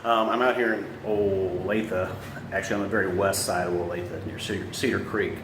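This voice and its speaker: A man's voice: low voice, steady tone, normal speed. A man presenting a casual, unscripted how-to walkthrough as a home installer, explaining step by step. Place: indoors, room of a house being remodeled. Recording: echoey room, clear background noise.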